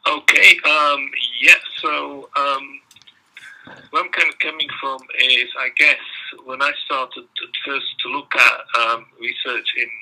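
Belgian-accented man, hesitant, very bright. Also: medium pitch, level tone, unhurried, low-quality recording. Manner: hesitant, many ums. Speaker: guest researcher. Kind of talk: interview answers.

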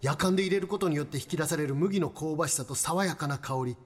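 A lovestruck salaryman in a comic radio drama. His fairly high voice carries a Kansai accent, with a grandly earnest, awkward delivery. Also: quick pace, slightly rough. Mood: rapturous, earnest. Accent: Kansai Japanese. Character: earnest lovestruck salaryman regular at a tea shop. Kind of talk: comedic radio drama acting with dramatic monologue.

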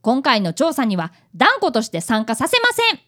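A woman's voice: sits high, huge pitch swings, normal speed, fairly bright tone, heavily acted. A woman playing an earnest, polite fifth-grade boy apprentice detective who narrates a radio drama. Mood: narrating, wry.

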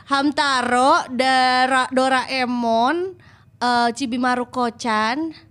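A woman's voice: high-pitched, fairly even intonation, takes it slowly, a bit bright. A young woman chats casually as a podcast co-host, throwing in playful, jokey interjections. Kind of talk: casual chat, joking with friends.

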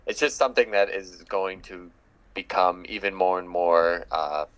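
A man's voice: deep voice, measured pace, clear, resonant tone, lo-fi sound. A man hosting a casual conversation, talking thoughtfully and hesitating with fillers.

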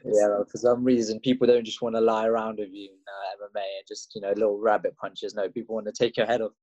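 A man's voice: fairly low pitch, flat delivery, quick pace, dark tone, no room sound, dull audio. A young man from Russia with an English accent, a fighter telling his story in a casual interview, rambling with lots of fillers and restarts. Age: young adult. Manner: rambling, laid-back, many fillers and restarts. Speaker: MMA fighter guest.

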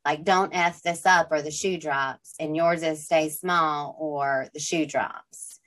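Low, slow-talking woman in earnest chat. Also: fairly even intonation, fairly bright tone. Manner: reflective, earnest, candid. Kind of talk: casual conversation.

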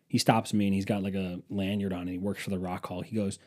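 Young man, twenties, low warm voice, rambling profane phone vlog. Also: little pitch movement, fast talker. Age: 20s. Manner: profane, self-mocking, wandering asides. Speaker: vlogger. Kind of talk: rambling casual storytelling. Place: museum exhibit, indoors. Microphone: phone camera.

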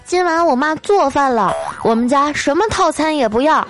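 A cheeky little boy, played by a woman storyteller, pitched high and swinging up and down. He is earnest, whiny and blunt, blurting out his words in a childlike way over background music. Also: lively intonation, normal speed, some chatter behind. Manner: childlike, blurting, hesitant restarts.

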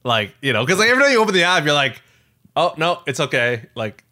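Man, podcast co-host, lively swooping intonation, bright voice, casual tech chat, conversational. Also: on the high side, fast talker. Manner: animated, conversational, filler-heavy with frequent "like" and "you know".

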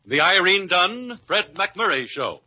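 A man's voice: sits high, big pitch swings, slow, husky. A man announcing and narrating a 1950s radio show in a jaunty, theatrical, confiding way over music. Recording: muffled vintage radio recording under loud music.

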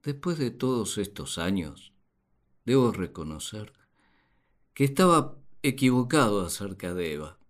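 An actor playing a naive, puzzled husband and father in a radio play, speaking in a deliberate, theatrical way. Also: lowish voice. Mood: reflective, tender. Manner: deliberate, theatrical, expressive delivery. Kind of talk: radio play monologue, dramatized diary.

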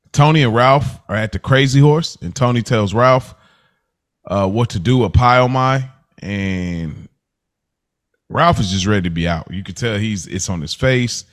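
Laid-back man recapping a show. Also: lowish voice. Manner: rambling, loose, chuckles often. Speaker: podcast co-host. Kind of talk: casual recap chat.

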